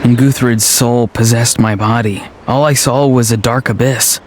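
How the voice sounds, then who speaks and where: A man's voice: mid-range voice, steady tone, normal speed, noisy background, lightly acted. A man voicing a reflective, compassionate young hero in a game, earnest and pensive.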